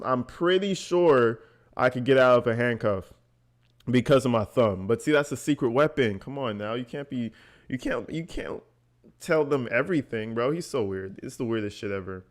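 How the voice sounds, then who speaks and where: A man giving casual reaction commentary as a host, incredulous and mocking, swearing freely. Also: mid-range voice. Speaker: host reacting to recorded calls.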